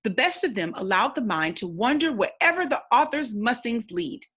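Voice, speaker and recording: A woman voicing a kindly, bookish old sailor from a storybook, in a courteous, measured way. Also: on the high side, sing-song, fairly bright tone, slightly rough, heavily acted. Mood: gentle, hospitable.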